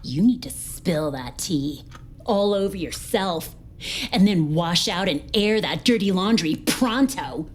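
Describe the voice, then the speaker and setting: An actress playing a brash, suspicious space interrogator who theatrically needles and mangles idioms in a comic audio drama. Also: female voice, fairly low pitch, expressive, slow. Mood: pressing, accusing. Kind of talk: comic science fiction audio drama acting.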